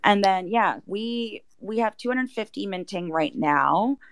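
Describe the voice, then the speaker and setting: A woman's voice: mid-range voice, lively intonation, measured pace, dry recording. A woman speaking as an interview guest, explaining a project in a casual, conversational way with lots of "like" and "you know".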